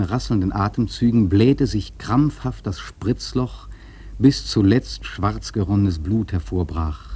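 A man playing a whaler who solemnly recounts the hunt, in dramatic literary radio play narration with a measured manner. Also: on the low side, dark tone, slightly rough.

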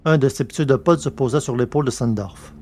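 A man reads scripted science-fiction narration. His voice sits in a middle register. The delivery is measured and literary, like an audiobook. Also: medium pitch, a little mellow, faint music behind.

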